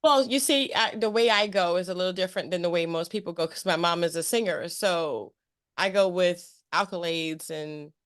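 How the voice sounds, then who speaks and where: A woman's voice: fairly low pitch, moderate pace. A woman hosting a casual interview in a chummy, emphatic way, often repeating phrases for emphasis. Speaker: interview show host.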